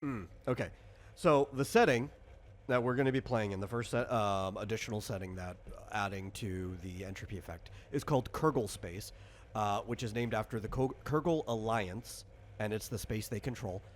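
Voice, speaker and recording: A man's voice: lowish voice, moderate pace, a little hiss or hum. A man hosting and explaining a game in casual chat, thinking aloud in a rambling way. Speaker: game designer and host.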